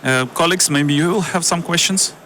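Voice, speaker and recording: A man's voice: fairly high pitch, brisk, pushed and bright, a little hiss or hum. Casual Ukrainian-accented male moderator. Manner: informal, with casual filler words. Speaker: panel moderator. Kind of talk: panel moderation.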